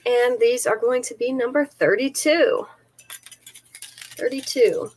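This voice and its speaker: Woman, slightly high voice, stuffy nose, chatty live seller, detailed descriptions, close camera mic. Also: slow. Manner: chatty, careful item-by-item descriptions.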